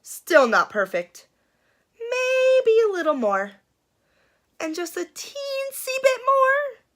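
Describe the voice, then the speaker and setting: Very animated, bright woman storyteller. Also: very high voice, slow. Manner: dramatic, suspenseful, playful. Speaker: children's storyteller. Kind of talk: expressive picture-book read-aloud.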